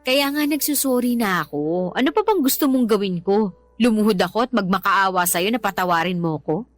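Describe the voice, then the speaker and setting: A woman's voice: mid-range voice, lively intonation, a little fast, dry recording, natural voice. An actress playing a defensive, easily irritated live-in girlfriend in a Tagalog radio drama, whining and argumentative. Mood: reluctant, exasperated. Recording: dramatic music bed behind the voice.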